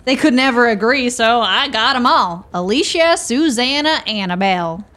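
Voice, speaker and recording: A woman's voice: on the high side, animated, normal speed, a bit bright. A woman playing a friendly, talkative, plainspoken homeless woman in a radio play, with a rustic, folksy manner.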